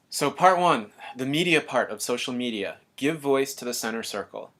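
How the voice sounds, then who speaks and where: A man giving a calm, earnest scripted voice-over narration for an explainer video. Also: mid-range voice.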